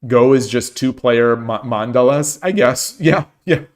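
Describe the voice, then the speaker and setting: Low-key man thinking aloud while playing. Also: measured pace. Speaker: streamer playing online shogi. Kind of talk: casual live-stream commentary.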